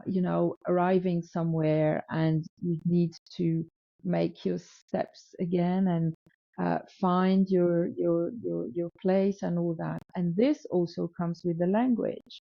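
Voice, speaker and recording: A woman's voice: on the low side, creaky, dry recording. A woman with a French accent, a guest telling a story in a casual interview, with frequent restarts and repeated words as she acts out quoted dialogue. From North Africa. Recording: dull lo-fi sound, dry room.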